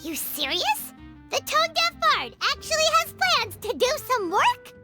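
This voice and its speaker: A woman voicing a tiny, excitable fairy companion in a video game, squeaky and childlike, bossy and easily indignant. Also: a little slow, full character voice. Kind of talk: video game cartoonish dialogue. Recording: prominent music behind voice.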